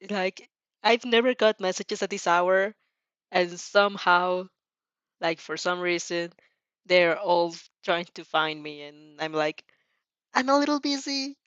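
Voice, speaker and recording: A woman's voice: lowish voice, measured pace, a bit bright, no room sound, lo-fi sound. A woman host chatting casually while reacting to fan fiction, in a giggly, apologetic, scattered way that keeps interrupting itself.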